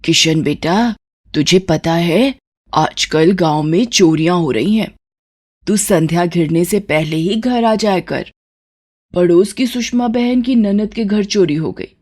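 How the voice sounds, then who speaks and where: A woman voicing a worried, cautioning village mother in an animated moral story. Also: lowish voice, slow pace. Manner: fretful, cautioning.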